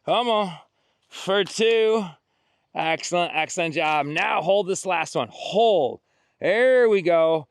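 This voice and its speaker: Middle-aged guy leading a band workout outside, clean smooth voice, hypes you thru the reps. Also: high-pitched, lively intonation, slow pace, no room sound. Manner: upbeat coaching, counting reps and cheering. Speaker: fitness instructor. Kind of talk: workout coaching. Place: outdoors on a beach.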